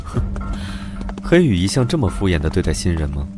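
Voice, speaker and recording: Deep-voiced, haughty, mocking duke. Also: male voice, big pitch swings, a little fast, music in the background. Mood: smug, mocking. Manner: arrogant, mocking. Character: haughty, menacing nobleman duke with sly amusement. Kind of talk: animated drama dubbing.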